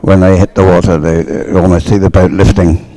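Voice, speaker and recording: A low-pitched male sports commentator talks through live race action conversationally. He explains things as he goes, in short phrases that halt and restart while he thinks aloud. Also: little pitch movement, brisk, warm tone, low-quality recording.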